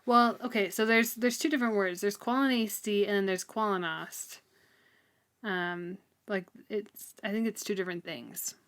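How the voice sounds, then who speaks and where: A woman's voice: mid-range voice, moderate pace, fairly bright tone. A woman co-hosting a podcast, casually discussing a book in an informal, giggly way. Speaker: podcast co-host.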